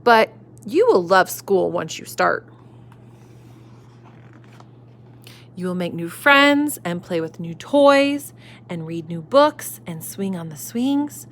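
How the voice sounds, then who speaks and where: Tender mother raccoon, soft and reassuring, voiced by a woman reading aloud. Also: on the low side, animated, a little slow. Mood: soothing. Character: gentle, loving mother raccoon.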